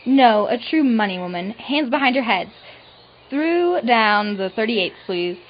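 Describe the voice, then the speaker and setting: A woman's voice: a little high, animated, unhurried. A woman playing a cold, greedy femme fatale in a noir audio drama. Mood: cold, threatening. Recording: dull lo-fi recording, clear noise.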